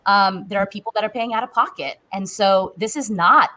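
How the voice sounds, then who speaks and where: A woman in her thirties is talking as a guest on a panel. She tells her own story candidly, in an everyday conversational way, with a medium-pitched voice. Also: normal speed, bright, forceful tone. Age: 30s.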